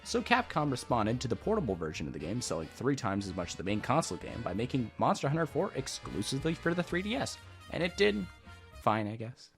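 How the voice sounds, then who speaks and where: A man's voice: mid-range voice, sing-song, fast talker. A man reading a scripted, comedic video game overview in a sarcastic, profane, irreverent way. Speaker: video creator and narrator. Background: instrumental music.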